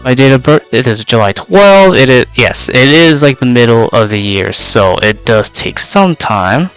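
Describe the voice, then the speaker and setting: A young man whose first language is Vietnamese introduces himself as a VTuber in a loose, rambling way, leaning on filler words as he goes. His voice sits at a middle pitch and comes through smooth and resonant, though it sounds slightly worn out. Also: animated, moderate pace, a bit bright, faint music behind, rough recording. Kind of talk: casual in-character self-introduction.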